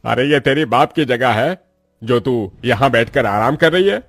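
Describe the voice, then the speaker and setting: A man's voice: medium pitch, lively intonation, a little slow, no room sound, studio quality. A man voicing a harsh, bullying factory boss in a cartoon story, scolding and threatening. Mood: angry, scolding. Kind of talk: Hindi cartoon moral story.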